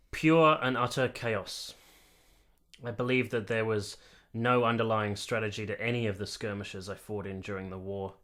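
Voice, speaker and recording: An actor playing an earnest, articulate army captain who has been to war, in a radio play. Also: male voice, fairly low pitch, a bit bright, lightly acted. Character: earnest, candid young army captain, reflective war veteran. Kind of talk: radio play narration and dialogue.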